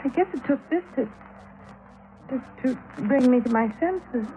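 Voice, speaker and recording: High-voiced distraught American ingenue. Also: female voice, animated, measured pace, muffled recording, background noise. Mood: anxious, pleading. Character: distraught, lovestruck young aspiring actress. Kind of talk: 1950s radio drama acting.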